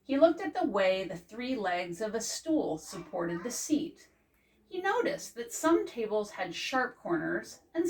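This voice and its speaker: An older woman reads a picture book to children in a careful storytelling style. She takes her time over the phrases, with a medium-pitched voice. She is recorded indoors with the microphone some way off. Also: slight background noise. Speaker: librarian reading aloud. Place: indoors before a green backdrop. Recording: distant microphone.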